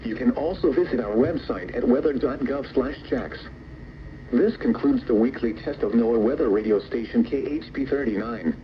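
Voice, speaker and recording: A man's voice: medium pitch, normal speed, highs cut off. A synthetic man's voice reading a formal, scripted public service announcement over the radio. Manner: formal, impersonal. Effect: radio broadcast. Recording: very noisy weather radio reception.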